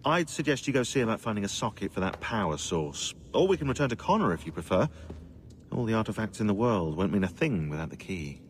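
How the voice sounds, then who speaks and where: An actor playing a dry, fussy, knowing researcher in a game, articulate and wry. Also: male voice, on the low side, sing-song, fast talker, a little hiss or hum. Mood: wry, explanatory. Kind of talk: video game cutscene acting.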